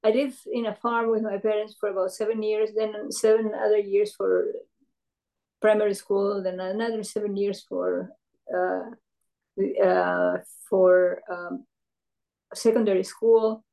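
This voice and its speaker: An older woman from Peru, a retired professor, recalling her career in an oral history interview, speaking thoughtfully with many pauses and fillers. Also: medium pitch, level tone, gravelly, warm tone, rough recording.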